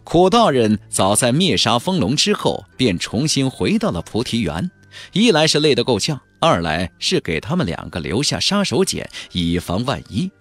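A man's voice: medium pitch, very animated, no echo. Guy reading a fantasy audiobook, super dramatic intonation, dry studio sound w faint music. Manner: measured, theatrical storytelling delivery. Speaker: audiobook narrator. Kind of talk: dramatic scripted narration of a fantasy novel.

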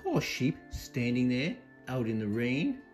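Slow, very expressive storytelling woman. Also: extremely low pitch, faint music behind. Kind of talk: children's read-aloud narration.

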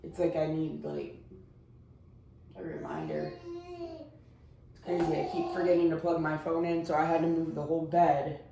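A frank, chatty woman in her thirties talks straight to a camera set across her small apartment, peppering her talk with "like" and "guys". Her voice sits a little low and reaches the mic from a few steps off. The room echoes, with steady household noise behind her. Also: normal speed, long pauses, recorded from a distance. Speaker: vlogger. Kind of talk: casual vlog chat to camera. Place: small apartment bedroom and kitchen. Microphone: camera set a few steps away.

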